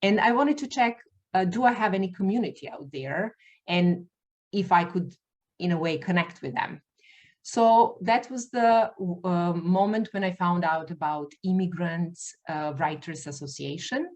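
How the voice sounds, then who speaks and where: A woman hosting an interview podcast over a video call, talking haltingly with many fillers and restarts, English not her first language. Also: on the low side, dull audio. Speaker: podcast host.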